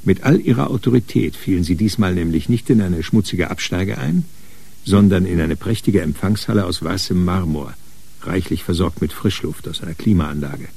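A man narrating a crime radio drama in a measured, dry storytelling manner. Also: low-pitched, gravelly, warm tone, breathy edge, full character voice. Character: sober male narrator of a detective story.

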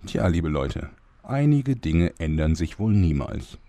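An actor playing a wry narrator, giving dry scripted commentary in a comedic audio drama. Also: male voice, low-pitched, very animated, takes it slowly, mellow, no echo, heavily acted. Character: wry storyteller commenting on the muddle.

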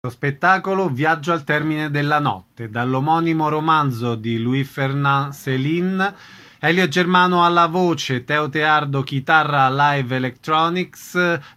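A man's voice: fairly high pitch, sharp tone, a little hiss or hum. A man reading a scripted radio announcement as the announcer.

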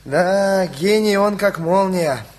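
An actor playing an eager, playfully envious teenage friend in a radio play, given to mock-grand remarks. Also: male voice, high voice, takes it slowly, darkish tone. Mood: teasing, envious.

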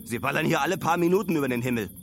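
Radio play role: reflective male traveller, lighter-pitched, musing and colloquial, over faint ambient noise. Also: expressive, very fast, creaky. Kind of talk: first-person radio play monologue.

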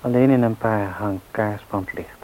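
A man acting a musing, measured reporter on night watch in a radio drama. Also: on the low side, phone-quality sound, lightly acted. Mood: musing, calm. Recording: faint hiss on old radio recording.

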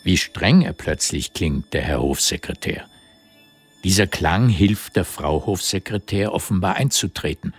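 A man's voice: deep voice, unhurried, slightly rough, soft background music. A man narrating a literary radio drama in scripted, present-tense prose.

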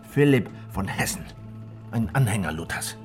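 An actor playing a driven, brooding revolutionary hunting a spy, intense and probing, in a German radio drama. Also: male voice, on the low side, expressive, moderate pace, natural voice. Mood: heated. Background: prominent music.

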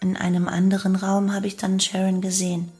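An actress plays a young woman from a murderous cult giving her account in a radio play. She speaks in a flat, detached, matter-of-fact way. Soft music runs underneath. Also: medium pitch, brisk, natural voice. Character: young female cult killer calmly confessing. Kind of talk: radio play monologue in testimony form.

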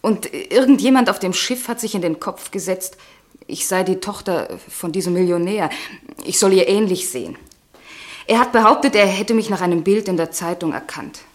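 An actress playing a poor young American woman taken for an heiress, speaking with composed, gently teasing wryness in a radio drama. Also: fairly low pitch, moderate pace, natural voice. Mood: wry. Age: young adult.

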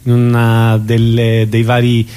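A man's voice: mid-range voice, completely flat delivery, takes it slowly, warm tone, clean tone. A man hosting a radio interview, asking questions conversationally with hesitant, searching phrasing.

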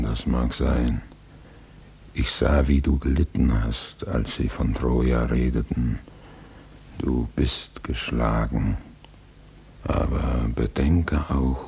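An actor playing a grave, brooding old king in a 1950s radio drama, speaking solemnly with a husky, gravelly voice. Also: extremely low pitch, warm tone, exaggerated voice. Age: older. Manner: ponderous, solemn.

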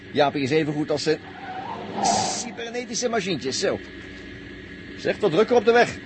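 A boastful daredevil at the wheel in an old radio play. His fairly high voice bounces around as he brags and jokes in slang. The sixties recording is clearly noisy. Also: very animated, normal speed. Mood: cocky. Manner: bragging, slangy, jokey third-person talk. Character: cocky, breezy, boastful young daredevil driver. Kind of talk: 1960s Dutch radio drama acting.

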